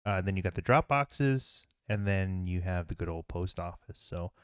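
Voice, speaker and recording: A man's voice: sits low, animated, normal speed, smooth, no echo. A man co-hosting a podcast in casual chat, rambling with lots of fillers and restarts. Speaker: podcast co-host.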